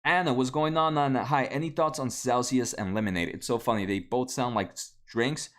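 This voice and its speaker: A man's voice: medium pitch, fairly quick, fairly bright tone. A man in his late twenties, a native Spanish speaker, hosting a casual stock livestream, answering viewers with many fillers and restarts. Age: 20s. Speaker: stock market livestream host. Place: home room at a desk.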